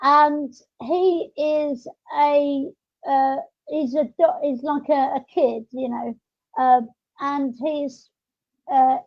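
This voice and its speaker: Female podcast host, high voice, rambling and halting, close dry but dull lo-fi audio. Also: steady tone, no room sound. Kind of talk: casual podcast chat.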